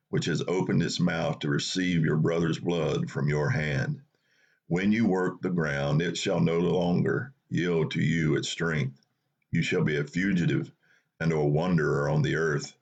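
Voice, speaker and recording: A man hosts a Bible study and reads scripture in a plain, unhurried way. His morning voice sits very low, husky and gravelly. The recording sounds dull and lo-fi. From North Carolina. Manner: unhurried, plain reading with small restarts. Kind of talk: reading scripture aloud.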